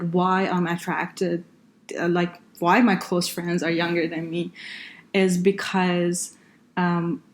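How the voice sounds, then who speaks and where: Halting Farsi-speaking woman guest, low voice. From northern Iran. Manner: halting, many fillers and restarts, laughs often. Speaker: guest, healthcare consultant. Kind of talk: casual interview answers.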